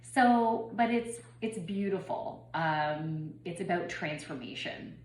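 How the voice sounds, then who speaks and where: A woman's voice: fairly low pitch, slow, a little mellow. A woman in her forties, a bit congested from a head cold, talking in a rambling, personal way with many ums and restarts in a spiritual vlog. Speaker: spiritual reader and yoga teacher. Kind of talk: casual spiritual channeling vlog. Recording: echoey room with faint background noise.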